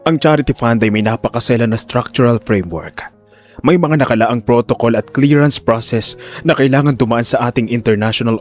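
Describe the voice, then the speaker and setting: Man playing an unctuous older hospital director, swooping pitch. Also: close to an everyday voice. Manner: formal, fake-concerned, hesitant emphatic phrasing. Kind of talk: audio drama dialogue.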